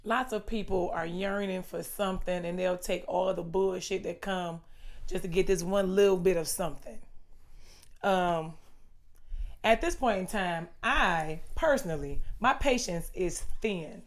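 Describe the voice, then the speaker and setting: A woman in her late thirties co-hosting a casual podcast, blunt and quick to laugh along. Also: fairly low pitch. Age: 30s. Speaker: podcast co-host.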